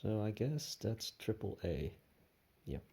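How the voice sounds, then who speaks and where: A man gives a casual hands-on product commentary in a soft-edged, mellow voice with lively swings in pitch. He thinks aloud in short, tentative phrases and takes his time between them. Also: slow, gravelly, breathy edge. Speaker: product reviewer. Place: indoor room with tiled floor.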